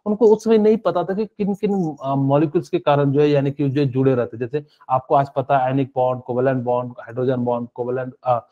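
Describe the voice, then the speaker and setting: A man's voice: medium pitch, mellow, lo-fi sound. A male chemistry teacher giving a live online class, explaining step by step with many fillers and asking students questions.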